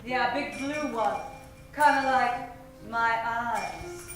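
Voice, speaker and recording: Woman playing a sultry femme fatale, highish voice. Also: very slow. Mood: flirtatious. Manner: flirtatious, coolly teasing. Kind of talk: 1940s noir radio parody acting. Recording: echoey, distant live stage recording. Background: faint music.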